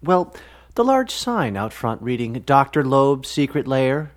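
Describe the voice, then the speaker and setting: Dry low-key weary businessman, subdued voice, lively pitch, played by a narrator. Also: unhurried. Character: large weary male businessman, dry and reluctant.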